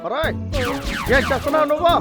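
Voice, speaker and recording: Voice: high voice, fast. An actor in a dubbed children's cartoon playing an irritable, boastful character who scolds and threatens. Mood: angry. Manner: scolding, blustering. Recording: music behind the voice.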